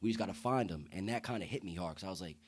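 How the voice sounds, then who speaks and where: A deep-voiced young man from the United States speaking earnestly and emphatically in casual, slang-heavy conversation as a podcast guest. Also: rapid-fire, a little hiss or hum.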